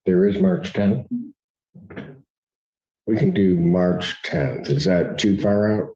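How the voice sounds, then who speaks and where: Male judge, teasing and wry, lowish voice, dull lo-fi courtroom sound; casting note. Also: slow pace, warm tone. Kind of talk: joking courtroom banter.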